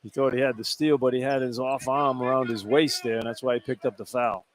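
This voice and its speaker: A man's voice: medium pitch, steady tone, brisk. A man giving live radio color analysis of basketball, explaining plays in informal, emphatic short remarks. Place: courtside in an indoor arena.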